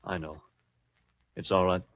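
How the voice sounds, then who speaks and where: An actor playing an earnest, troubled and proud American rancher in an old-time radio western. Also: male voice, low-pitched, little pitch movement, a little slow, a bit bright. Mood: despairing. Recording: muffled vintage radio drama recording.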